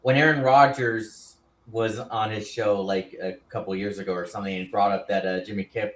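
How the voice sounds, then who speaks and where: A man's voice: fairly low pitch, fairly even intonation, normal speed. A man in his thirties on a casual panel show, who talks in a mocking way and laughs often. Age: 30s. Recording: echoey room.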